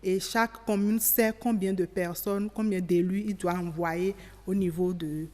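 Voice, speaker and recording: A woman giving measured, explanatory interview answers as an expert, often rephrasing as she goes. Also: on the low side, steady tone, darkish tone. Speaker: expert interviewee on local government. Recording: reverberant hall sound.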